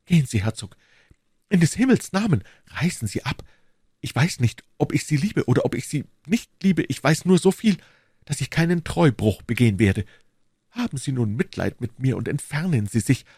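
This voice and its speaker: A man's voice: mid-range voice, big pitch swings, normal speed, darkish tone, slightly rough, no echo, studio quality, pitched above the performer's own voice. A man voicing an anguished, torn queen, proud yet secretly in love, with an imploring, agitated, regal manner.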